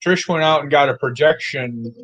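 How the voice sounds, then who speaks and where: A man's voice: on the high side, normal speed, a bit bright, low-quality recording. A man chatting casually as a guest, easygoing and matter-of-fact as he tells stories. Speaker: guest, commission client. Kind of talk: casual chat.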